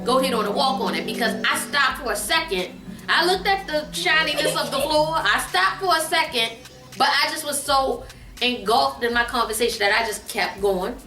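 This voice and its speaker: A woman's voice: high voice, expressive, moderate pace, bright, forceful tone. A middle-aged woman telling a funny story casually, emphatic and repeating phrases for comic effect. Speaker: guest mother telling a family story on her daughter's vlog. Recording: roomy echo, distant mic, faint music.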